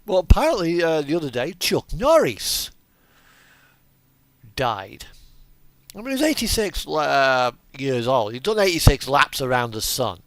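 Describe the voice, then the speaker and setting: A man giving casual gameplay commentary as host of a truck simulator video, rambling and halting with trailing asides. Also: on the high side, big pitch swings, a bit bright, dry recording.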